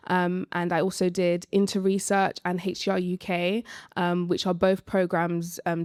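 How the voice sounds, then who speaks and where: A young woman speaking informally and reflectively as a panellist, pausing hesitantly as she shares her experience. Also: lowish voice, a little mellow, no echo, studio quality. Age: young adult. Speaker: panellist, early-career researcher. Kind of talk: live panel discussion, personal account.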